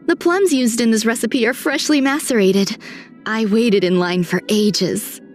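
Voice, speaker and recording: A woman voicing a refined, reserved scientist in a video game, composed and polite with a gentle detachment. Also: on the high side, unhurried, natural voice. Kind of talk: scripted video game character monologue. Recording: voice over background music.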